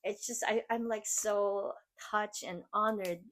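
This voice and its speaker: A woman's voice: mid-range voice, fairly even intonation, takes it slowly, a bit bright. A middle-aged woman from the Philippines with a Filipino accent, hosting a podcast and speaking earnestly about self-love, with frequent "like" and restarts. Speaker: podcast host. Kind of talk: personal motivational talk.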